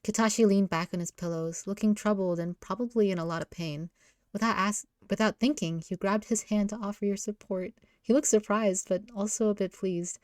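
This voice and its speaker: A woman's voice: medium pitch, steady tone, a little fast, a little mellow, no echo, clean studio sound. A woman reading story narration aloud in a visual novel readalong.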